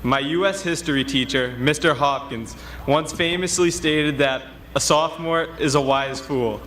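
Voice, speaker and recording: A man's voice: a little high, moderate pace, a bit bright. A young man in his late teens reading a prepared graduation speech as a student.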